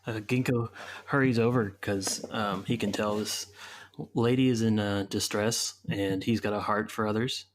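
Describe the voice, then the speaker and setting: A man's voice: lowish voice, fairly even intonation, moderate pace, a little hiss or hum. A man hesitantly improvising narration of his character in a tabletop roleplaying game.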